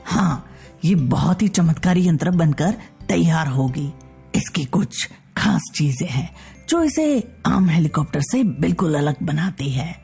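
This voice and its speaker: A woman voicing a firm, matter-of-fact husband and proud inventor in a measured manner for a story. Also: deep voice, expressive, creaky, warm tone, breathy edge, faint music behind, pitched below the performer's own voice, full character voice.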